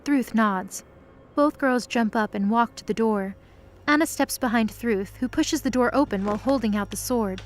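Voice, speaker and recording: A woman's voice: on the high side, moderate pace, soft background music. A woman narrating a scripted present-tense mythology drama.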